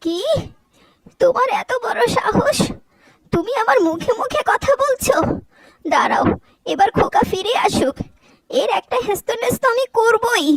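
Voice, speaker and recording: A woman acts out a haughty older cartoon mother-in-law. Her voice is very high and dry, with a cutting, indignant edge. She scolds and threatens with cruel authority. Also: animated, measured pace, pauses often, dry recording, exaggerated voice, poor audio. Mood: scolding, outraged. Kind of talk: Bengali cartoon voice acting.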